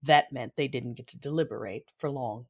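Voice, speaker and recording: Proud, vengeful Sith commander played by a woman, formal and measured, narrating her own sci-fi audio drama with authority. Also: rumbling low, slightly rough, full character voice. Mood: controlled, impatient. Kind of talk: first-person audio drama narration and dialogue.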